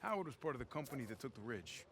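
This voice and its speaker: An actor playing a wisecracking soldier who needles the others sarcastically. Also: male voice, mid-range voice, sing-song, very fast, fairly bright tone. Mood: sarcastic. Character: mocking, wisecracking male soldier. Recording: game scene with gunfire behind.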